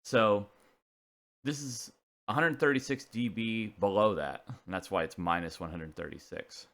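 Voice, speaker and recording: A man with a fairly low voice presents an informal technical explainer. His delivery is casual and halting, as if working things out aloud while he goes. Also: fairly bright tone. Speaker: presenter of a CB radio technical video.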